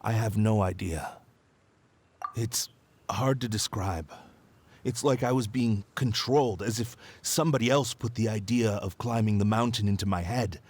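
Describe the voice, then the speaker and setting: A man's voice: on the low side, normal speed, darkish tone, slightly rough. An actor playing a weary, bewildered ordinary man in a video game, puzzled and emphatic. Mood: exasperated.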